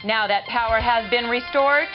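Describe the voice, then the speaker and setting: An actress playing a polished, flippant American TV news anchor in a mock game newscast, with a deadpan delivery. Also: female voice, a little high, normal speed, close to an everyday voice. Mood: deadpan, brisk. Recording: dull lo-fi audio under music. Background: music.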